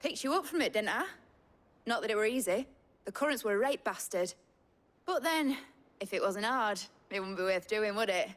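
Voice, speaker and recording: A woman's voice: mid-range voice, lively intonation, normal speed, lightly acted. A woman playing a plucky, brash young ship's engineer in a video game, with a cheeky, salty way of talking. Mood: chipper, boastful. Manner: cheeky, colloquial, swearing.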